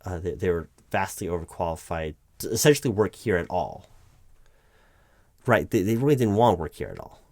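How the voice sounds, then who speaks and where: A man's voice: deep voice, very animated, brisk, darkish tone, no echo, studio quality, exaggerated voice. A man playing a flustered, exasperated recruiting manager in a one-sided office roleplay, stammering and often correcting himself. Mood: exasperated, insistent. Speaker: roleplay performer. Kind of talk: improvised one-sided roleplay, loud spoken ASMR.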